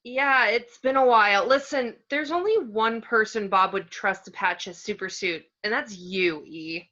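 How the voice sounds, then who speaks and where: A woman playing an earnest superhero housewife on a phone call in a script read-through, halting and correcting herself. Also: mid-range voice, sharp tone. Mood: hesitant, earnest. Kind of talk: online table read of a film script.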